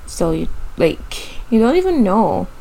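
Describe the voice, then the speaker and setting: A woman in her twenties with a Barbadian accent, co-hosting a podcast in relaxed casual chat, rambling with lots of fillers. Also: mid-range voice, measured pace, creaky, a little hiss or hum, rough recording. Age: 20s. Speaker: podcast co-host.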